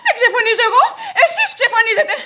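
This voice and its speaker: An actress playing a proud, fiery young widow in a radio comedy, haughty and theatrically indignant. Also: female voice, very high voice, very animated, heavy background noise. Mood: outraged.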